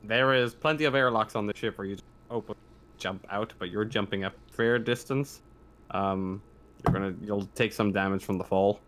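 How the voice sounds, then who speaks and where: A low-pitched man acts as game master in a tabletop roleplaying session. He improvises descriptions and rulings in a halting way, repeating and reworking phrases as he goes. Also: fairly even intonation, a bit bright, a little hiss or hum.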